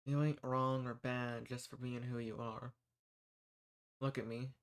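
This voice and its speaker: Man playing a kind rebel protector, mid-pitched voice, earnest, folksy, reassuring, fantasy audio roleplay. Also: flat delivery, a little slow, clear, resonant tone, close to an everyday voice. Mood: gentle, comforting. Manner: folksy, reassuring, earnest, uses y'all and ain't. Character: kind human rebel posing as hunter, earnest protector of monsters. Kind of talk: scripted audio roleplay, speaking softly to the listener.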